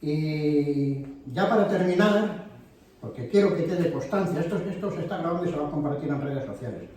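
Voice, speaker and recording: Man organizing a local meeting, mellow voice, rambling and indignant, captured from afar in a very echoey hall. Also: on the high side, normal speed. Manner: animated, rambling, indignant asides. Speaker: event organizer. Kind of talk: addressing a live audience. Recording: very echoey hall, distant mic.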